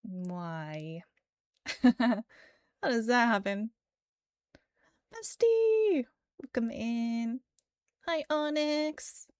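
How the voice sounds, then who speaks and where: A woman's voice: on the high side, drawn-out words, pauses often, clear, resonant tone, no room sound, studio quality. A woman livestreamer chatting casually with viewers in a bubbly, giggly, friendly manner. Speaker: livestreamer, host. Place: indoor livestream setup.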